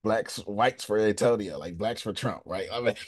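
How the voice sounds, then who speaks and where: A man hosting a casual coaching call over video, animated and emphatic, with slangy, repetitive phrasing. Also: lowish voice, measured pace. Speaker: business coach and host.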